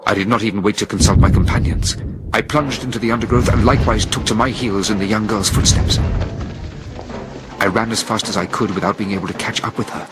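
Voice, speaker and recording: A man narrates an adventure story in a fairly low voice. His delivery is theatrical and suspenseful, with carefully measured phrases. Music plays clearly behind him. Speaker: audiobook narrator. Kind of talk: dramatic first-person story narration. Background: music under the voice.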